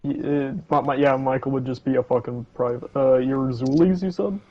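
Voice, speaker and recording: Mellow, sweary male gamer, slightly dull audio. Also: mid-range voice, fairly even intonation, moderate pace. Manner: crude, sweary, bossy banter. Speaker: co-op gaming partner. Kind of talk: casual gaming chat.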